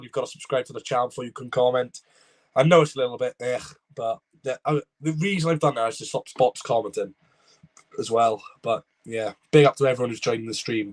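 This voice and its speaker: Chatty Welsh man streaming a match. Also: medium pitch. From Wales. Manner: rambling, chatty, reads viewer comments aloud. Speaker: football watchalong streamer. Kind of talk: live match reaction and chat reading.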